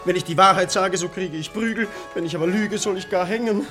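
An actor playing a put-upon servant in a radio comedy, plaintive and fearful of punishment. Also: male voice, high-pitched, fairly quick, music in the background, close to an everyday voice. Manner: plaintive, exclaiming. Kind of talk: classic German radio comedy acting.

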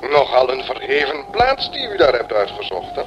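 An actor playing a mild, conciliatory pastor in a radio play, hesitant and given to repeating soothing little words. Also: male voice, mid-range voice, huge pitch swings, moderate pace, lo-fi sound. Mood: kindly, awkward. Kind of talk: radio drama acting. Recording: noisy old radio play recording.

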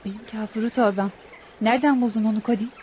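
A woman's voice: fairly high pitch, fairly even intonation, fast talker, darkish tone, slight background noise, natural voice. An actress playing a bored, evasive young woman in a hesitant, dramatic radio theatre style. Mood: bored, curious. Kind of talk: Turkish radio theatre acting.

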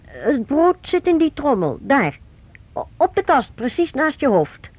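A woman's voice: high voice, sing-song, unhurried, darkish tone. An actress playing an ageing, nervous mother, evasive and stammering, cowed by her son in a Dutch radio play. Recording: dull lo-fi old radio recording.

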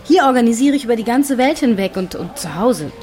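A woman's voice: on the high side, expressive, brisk, music in the background, close to an everyday voice. An actress playing a practical, candid German expatriate woman, chatting colloquially in a radio drama. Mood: candid, reflective. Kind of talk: conversational documentary radio drama. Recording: clear street and traffic noise.

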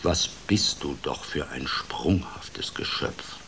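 A man's voice: a bass voice, slow pace, pushed and bright, in a whisper, voice pushed down, full character voice. A man voicing a worried, mournful toad who sighs, in a radio fairy tale. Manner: sighing, mournful. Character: gloomy toad, forever worried, sighing.

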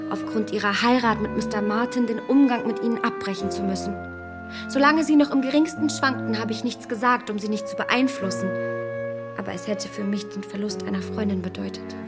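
An actress playing a confident, manipulative young gentlewoman in a period radio drama, with a poised, measured and insistent delivery. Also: medium pitch, music in the background, lightly acted. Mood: pleased, relieved.

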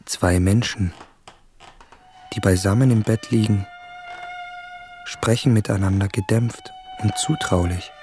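A man's voice: on the low side, steady tone, a little slow, pauses often, dark tone, natural voice. An actor playing a feverish, yearning young man in introspective monologue in a German literary radio drama. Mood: hushed yearning. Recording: studio voice with music behind.

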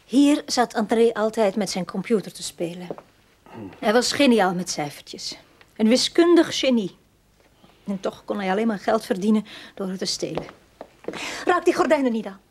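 An actress playing a haunted, uneasy widow in a radio drama, husky, deliberate and defensive. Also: female voice, mid-range voice, lively intonation, natural voice. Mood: brittle, bitter. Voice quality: husky, breathy.

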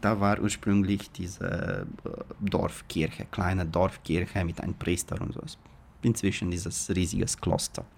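A man's voice: deep voice, fairly even intonation, measured pace, vocal fry, a little mellow, slight background noise. A man giving unscripted answers as a local interviewee.

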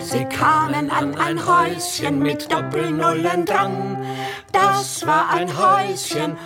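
An actress playing a haughty elderly madame in a German radio play, imperious, brisk and theatrical. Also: female voice, very high-pitched, fairly even intonation, vocal fry, loud music behind the voice, exaggerated voice. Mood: mock-dramatic recital. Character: haughty elderly madame of a shabby care household.

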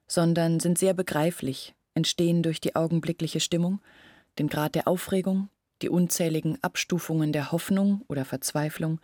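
Earnest village woman in a radio drama, husky, close dry sound, explains everything carefully. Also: deep voice, darkish tone, no echo. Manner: earnest, explanatory, measured storytelling. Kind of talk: literary radio play monologue.

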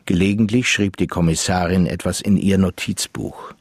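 An actor as the sober narrator of a crime radio drama, reading scripted prose. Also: male voice, low-pitched, fairly even intonation, moderate pace, slightly rough, dry recording, studio quality.

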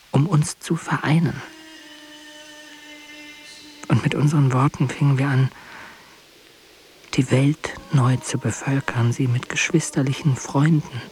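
Radio drama lover, played by a man: slow, intimate, halting, wounded tenderness, faint-voiced over background noise. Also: medium pitch, natural voice, low-quality recording. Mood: earnest, yearning. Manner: hushed, intimate, halting phrases. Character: tender, wounded lover addressing his beloved Sarah. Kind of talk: poetic literary radio drama monologue.

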